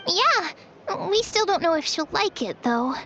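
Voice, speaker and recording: A woman's voice: very high voice, big pitch swings, measured pace, muffled recording, noisy background, full character voice. A woman voicing an earnest, fretful young girl in a video game. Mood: worried. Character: young girl, anxious and wistful about leaving. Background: game music.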